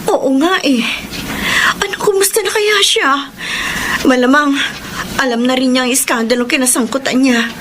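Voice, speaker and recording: A woman's voice: sits high, normal speed, close to an everyday voice. An actress playing a worried, caring and forgiving young woman in a Filipino radio drama. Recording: clear background noise.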